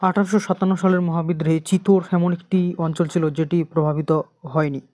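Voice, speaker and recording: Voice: medium pitch, level tone, brisk, dark tone, dry recording. An exam coaching teacher with an Indian Bengali accent, methodically reading out quiz questions and answers and repeating them.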